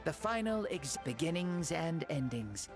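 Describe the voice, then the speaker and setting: A man's voice: fairly high pitch, very animated, a little slow. An actor voicing a breezy, self-mocking ancient male hero spirit who is borrowing a boy's body, in a game. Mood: playful. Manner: easygoing, self-mocking, playful. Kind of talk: game voice acting. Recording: game audio with music under.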